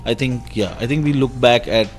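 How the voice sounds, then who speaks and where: A man who co-wrote and directed the film, speaking reflectively and haltingly about it. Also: mid-range voice, faint music behind. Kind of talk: press interview answers.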